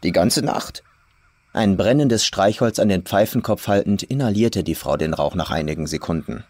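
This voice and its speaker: A man's voice: low voice, a little fast, a little mellow, slightly rough, no room sound. A man reading a scripted horror story as a dramatic audiobook narrator. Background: faint atmospheric music.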